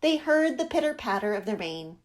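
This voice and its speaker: A woman reading a picture book aloud to young children in an expressive, deliberate, dramatic storytelling manner. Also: fairly high pitch.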